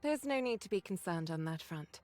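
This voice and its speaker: An actress playing a composed squad leader in a game cutscene, giving briefings in a measured, formal, authoritative way. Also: female voice, fairly low pitch, animated, darkish tone, faint music behind, lightly acted. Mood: composed, explanatory.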